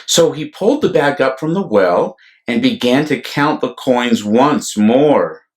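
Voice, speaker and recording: Male storyteller for a children's read-aloud: slow, deliberate delivery, lively swings in pitch. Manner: deliberate, animated, explanatory asides to young listeners. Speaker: storyteller, priest reading aloud. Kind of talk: expressive children's picture-book read-aloud.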